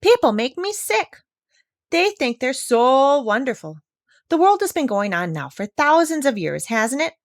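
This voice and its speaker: A woman's voice: sits high, big pitch swings, measured pace, no room sound, studio quality, pitched above the performer's own voice, heavily acted. A woman voicing an opinionated, very old female parrot in a storybook, scornful and exclamatory.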